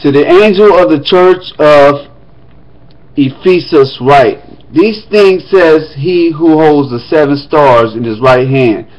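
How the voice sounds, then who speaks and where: Higher-voiced man reading scripture, dull sound. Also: takes it slowly, a little mellow. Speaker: scripture reader. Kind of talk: Bible reading aloud with casual asides.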